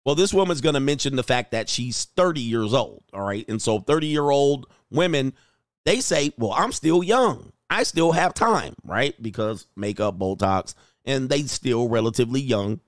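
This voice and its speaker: A man in the middle of his range hosts a relaxed livestream, chatting and cracking jokes between viewer comments. He laughs easily and rambles in a loose, offhand way. The voice sits close and dry, with no room sound around it. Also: medium pitch, normal speed, no echo. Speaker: dating coach and show host. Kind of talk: casual livestream chat reading viewer comments.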